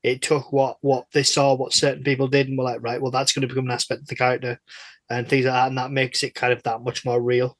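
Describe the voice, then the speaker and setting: A man's voice: medium pitch, monotone, quick pace, dry recording. A man interviewing casually for a podcast, with a rambling, self-deprecating way of talking.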